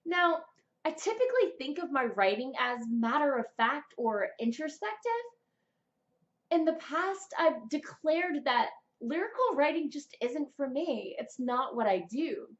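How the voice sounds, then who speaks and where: A young adult woman presenting a scripted vlog of writing tips in an expressive, deliberate public-speaking manner. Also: high-pitched, lo-fi sound. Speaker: vlogger, writer and amateur voice actor. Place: small plain indoor room, seated.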